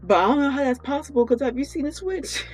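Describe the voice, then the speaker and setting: A woman's voice: high-pitched, expressive, quick pace, darkish tone. A woman chatting casually and unscripted as a co-host on a gaming podcast. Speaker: podcast co-host and game streamer.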